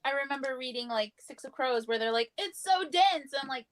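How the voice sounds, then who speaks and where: A woman's voice: sits high, lively intonation, a little fast. A woman speaking informally as a guest in a casual book discussion. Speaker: guest panelist.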